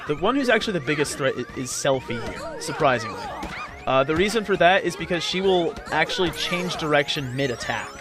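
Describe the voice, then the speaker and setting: A young man giving casual, rambling gameplay commentary with frequent stammers as he explains. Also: fairly high pitch. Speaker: gamer, let's play commentator.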